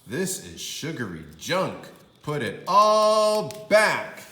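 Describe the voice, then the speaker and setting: Man playing an exasperated, loud storybook dad, echoey. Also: on the high side, huge pitch swings, slow pace, voice pushed up, exaggerated voice. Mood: exasperated, scolding. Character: exasperated yelling father, alarmed by his daughter's junk food.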